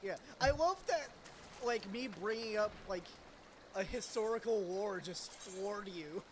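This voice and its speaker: A woman's voice: mid-range voice, a little slow. A woman giving casual commentary while playing a video game. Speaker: gamer reading a quest aloud. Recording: background noise behind.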